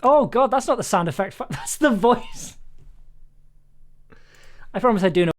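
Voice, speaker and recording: A woman's voice: mid-range voice, lively intonation, moderate pace. A woman chatting casually on a livestream as she edits, thinking aloud in a giggly, self-deprecating way. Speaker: audio drama producer and streamer. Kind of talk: casual livestream chat while editing.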